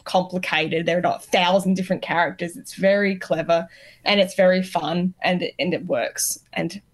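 A woman with a voice a little lower than average speaks as a podcast panellist. She gives her opinions in loose, conversational phrasing. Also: steady tone, moderate pace. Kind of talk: casual chat.

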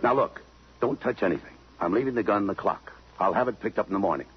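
An actor playing a hard-boiled, plain-spoken police detective in a 1950s radio drama. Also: male voice, fairly low pitch, fast, slightly rough. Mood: brisk, wry. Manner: plain-spoken, slangy, matter-of-fact. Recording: muffled 1950s radio broadcast.

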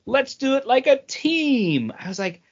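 A man with a lively, swinging delivery talks as a podcast co-host. He is blunt and opinionated in casual review chat, acting out quotes as he goes. The audio is a little dull. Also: very high voice, measured pace, slightly rough. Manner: blunt, swearing, acts out mocking quotes. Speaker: co-host of a comic book podcast.

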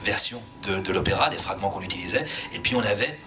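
Low-voiced man explaining, music behind. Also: fast, sharp tone. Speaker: series creator and writer on a panel. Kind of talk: informal explanation at a panel talk.

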